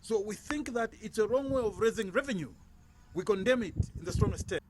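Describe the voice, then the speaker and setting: A man's voice: high-pitched, expressive, fairly quick, background noise. A man with a Zambian accent making an emphatic statement to the news, repeating phrases for weight. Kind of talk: spoken statement to news camera.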